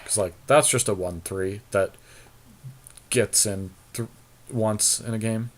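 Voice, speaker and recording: Low-voiced man thinking aloud. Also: takes it slowly. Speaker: podcast co-host. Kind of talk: casual chat while building a card game deck.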